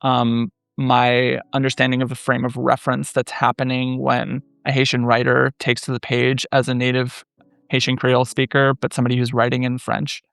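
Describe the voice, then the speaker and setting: A man in his thirties speaking as a scholar guest in a podcast interview, measured and thoughtful, pausing often with fillers. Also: mid-range voice, completely flat delivery, vocal fry, a bit bright, clean tone, no room sound. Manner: thoughtful, many "um" pauses, measured. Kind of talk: academic interview conversation.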